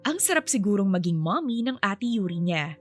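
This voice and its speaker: A woman's voice: medium pitch, big pitch swings, moderate pace, dry recording. A woman storyteller reading scripted fiction narration. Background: music.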